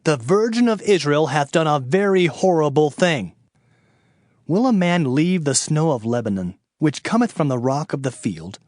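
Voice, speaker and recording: A man giving a dramatized scripture narration as an audio Bible narrator, solemn and declamatory with deliberate phrasing. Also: on the high side, very animated, darkish tone, no room sound, clean studio sound. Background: background music.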